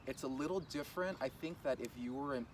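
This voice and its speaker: A man's voice: mid-range voice, fast talker. A young man from Los Angeles chatting casually as an interview guest, rambling with lots of fillers. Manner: relaxed, rambling with many fillers. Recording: distant mic, loud traffic outdoors.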